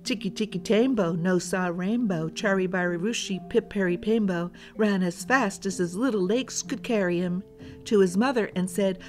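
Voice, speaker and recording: A woman's voice: medium pitch, normal speed, a little mellow, faint music behind. A woman storyteller narrating a children's folktale as a scripted read-aloud.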